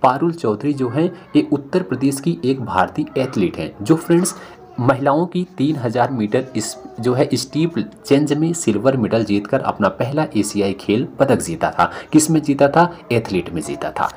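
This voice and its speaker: A man's voice: medium pitch, lively intonation, normal speed, darkish tone. A man explains exam questions one by one as a teacher, repeating questions and answers for emphasis. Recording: noticeable background noise.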